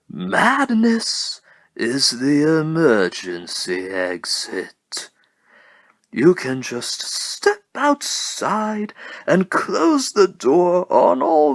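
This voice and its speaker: Mad clown supervillain: slow, sardonic showman delivery, huge pitch swings, cartoon menace, dry close sound. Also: creaky, a bit bright, no room sound, full character voice. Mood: sinister coaxing.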